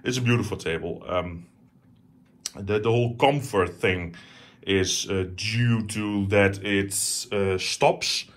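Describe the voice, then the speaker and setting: A man's voice: lowish voice, slow pace. A man from the Netherlands with a Dutch accent, casually showing his home stereo system, talking with enthusiasm and many fillers and restarts. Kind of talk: casual home tour vlog. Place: living room at home.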